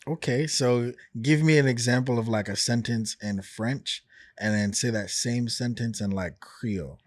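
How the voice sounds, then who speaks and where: A man's voice: mid-range voice, a little slow, no room sound. A man hosting a casual interview, laid-back, nudging the talk along with short "okay"s and "mm"s. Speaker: podcast host.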